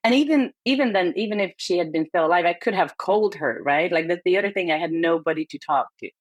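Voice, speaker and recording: A woman's voice: on the low side, expressive, fast, no room sound. A woman in her thirties from Hungary, speaking with a Hungarian accent as a podcast guest, candid and reflective with hesitant fillers. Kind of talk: casual interview chat.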